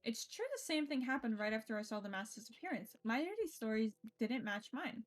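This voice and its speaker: A woman with a fairly high voice streams a visual novel, reading the narration aloud and breaking off into her own expressive, casual comments. She swears freely and interrupts herself. Soft game music plays behind her. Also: fairly quick.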